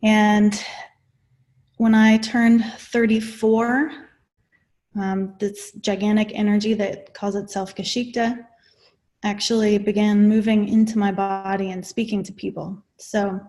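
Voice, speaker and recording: A woman in her forties opening a webinar as a spiritual channeler. She gives soft, halting, reassuring guidance into a computer mic. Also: medium pitch, level tone. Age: 40s. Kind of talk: introduction to a webinar.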